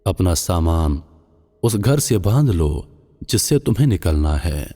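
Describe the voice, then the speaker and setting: A man voicing a solemn, dying father in a moral tale, gravely admonishing his son. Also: low voice, big pitch swings, unhurried, dark tone, heavily acted. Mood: grave, urgent warning. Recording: long cavernous reverb, music underneath.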